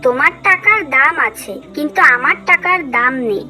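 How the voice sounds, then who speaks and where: A high, bright female cartoon voice, acted by a woman as a gentle and devout moralizer. The delivery is scripted, earnest and preachy, with music underneath. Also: animated, normal speed, exaggerated voice. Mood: earnest, admonishing.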